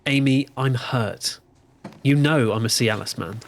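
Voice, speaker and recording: A man's voice: mid-range voice, measured pace, a little hiss or hum, close to an everyday voice. A man playing a smug, visionary ad man in an audio drama, talking like a grandiose salesman. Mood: teasing. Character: smug, visionary male ad man pitching time travel.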